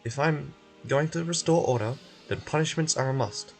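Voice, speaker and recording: A man's voice: medium pitch, moderate pace, creaky, faint music behind, natural voice. A man voicing a severe, domineering enforcer who demands order in a video game. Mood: stern. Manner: commanding, severe.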